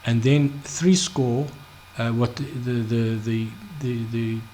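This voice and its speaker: Halting man explaining scripture. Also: mid-range voice, steady tone, dark tone, slight background noise. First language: not Hebrew. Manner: halting, many restarts and fillers, checks in with "okay?". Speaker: Bible teacher. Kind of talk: unscripted screen-share Bible study.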